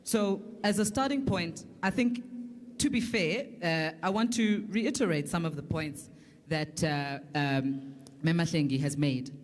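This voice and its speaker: Emphatic, articulate South African woman. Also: sits low. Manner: articulate, emphatic, deliberate phrasing with repetition. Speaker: political panelist. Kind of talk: prepared political argument at a public forum.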